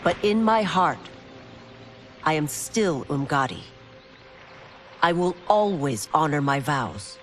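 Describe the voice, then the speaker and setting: A woman's voice: deep voice, lively intonation, slow pace, long pauses. An actress playing a stern, honourable warrior woman in a video game, solemn, resolute and formal, with dramatic delivery. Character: stern, honourable woman warrior, former royal guard turned constable. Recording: music behind.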